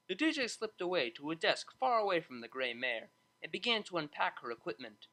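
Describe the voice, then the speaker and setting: A storybook narrator with a bright voice at a middle pitch. The delivery is scripted and lively, with plenty of movement in the melody. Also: low-quality recording. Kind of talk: scripted audiobook narration of fan fiction.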